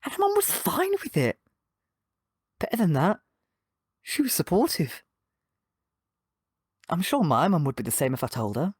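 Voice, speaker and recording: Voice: medium pitch, wildly swooping pitch, moderate pace, long pauses, breathy edge, no room sound, clean studio sound. A performer playing an anxious young man confiding his feelings in a hesitant, wistful audio drama monologue. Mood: tentatively hopeful. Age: young adult. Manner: confessional, hesitant, wistful with nervous little laughs.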